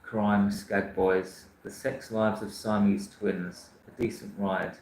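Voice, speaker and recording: A man's voice: lowish voice, level tone, slow pace, darkish tone, echoey room, slight background noise, low-quality recording. A man from South London chatting casually about books, rambling and frank, often restarting his sentences. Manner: rambling, self-correcting, frank. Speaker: book channel host. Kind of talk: casual chat reading viewer comments.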